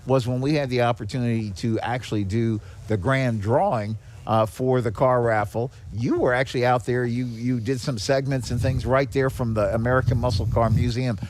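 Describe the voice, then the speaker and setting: A man's voice: medium pitch, steady tone, normal speed, few pauses, darkish tone. A man speaking as an interview guest in a casual, explanatory way, upbeat and appreciative. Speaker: guest, charity executive director. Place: on location at a garage restaurant. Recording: clear background noise on location.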